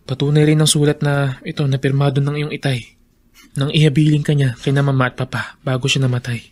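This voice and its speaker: A man's voice: medium pitch, level tone, brisk, dry recording, close to an everyday voice. A man playing an earnest, reasoning family mediator in a Filipino radio drama, explaining carefully. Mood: earnest persuasion. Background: soft music.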